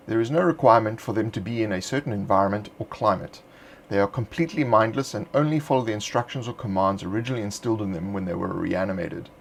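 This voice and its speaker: Low-voiced man reading measured narration. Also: slight background noise. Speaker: narrator of a game supplement. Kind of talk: scripted narration.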